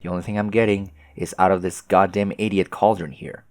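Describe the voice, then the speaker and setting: A man playing an aloof, sarcastic teenage boy who grumbles and swears in a deadpan way for a comic dub. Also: deep voice, brisk, dry recording, clean studio sound. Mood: irritated. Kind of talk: fan comic dub dialogue.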